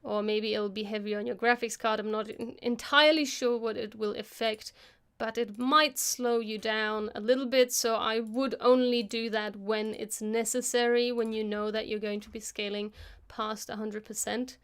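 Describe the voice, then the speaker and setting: A woman hosting a live stream, casually talking through a software tutorial as she works, thinking aloud into a close microphone. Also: on the high side, steady tone, normal speed, few pauses, clear, resonant tone. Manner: casual, chatty. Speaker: host of an animation live stream.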